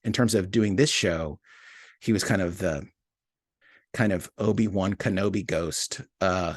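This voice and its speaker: A man speaking as an interview guest, talking casually and reflectively about his writing work, thinking aloud in halting fragments. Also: low voice, dry recording, studio quality. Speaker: audio drama writer and producer, interview guest.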